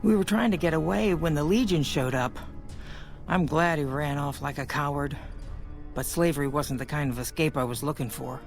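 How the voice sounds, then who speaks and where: A woman's voice: deep voice, moderate pace, soft background music. A woman playing a bitter captive mother in a video game, both pleading and defiant. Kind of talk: video game dialogue acting.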